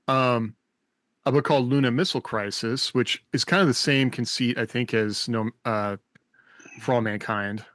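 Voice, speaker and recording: A man's voice: mid-range voice, normal speed, fairly bright tone, dry recording. A man hosting a casual panel chat, thinking aloud in a somewhat hesitant way. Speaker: podcast host.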